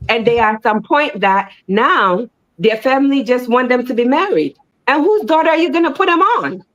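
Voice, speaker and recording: Animated woman talking through a discussion. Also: normal speed, highs cut off. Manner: animated, repeats words, rising pitch when making a point. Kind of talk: casual panel discussion.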